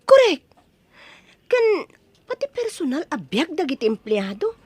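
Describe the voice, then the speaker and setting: Lively swooping voice, slow delivery, woman acting as a gossipy coworker, animated. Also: high-pitched, no echo. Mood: gossiping. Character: chatty gossipy female office worker. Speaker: actor in audio drama. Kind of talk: Ilocano radio drama acting.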